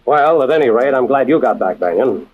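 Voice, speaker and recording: Man playing a polished, lively radio adventurer-host. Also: mid-range voice, brisk, natural voice. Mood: composed. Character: composed worldly adventurer and storyteller, host. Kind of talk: 1940s radio acting and narration. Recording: muffled vintage radio recording, music behind.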